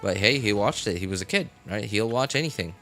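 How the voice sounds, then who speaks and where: A man in his thirties chatting casually on a stream, telling a story in a halting, repetitive way. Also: fairly low pitch, lively intonation, a bit bright. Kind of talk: casual rambling livestream chat. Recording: game music and dialogue behind the voice. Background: video game music and character voices.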